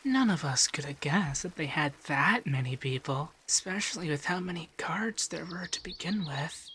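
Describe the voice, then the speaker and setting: A man voicing an affectionate, teasing femboy monster companion in a soft, breathy, shyly stammering ASMR roleplay. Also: on the high side, lively intonation, moderate pace, a little hiss or hum. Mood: tender, reassuring.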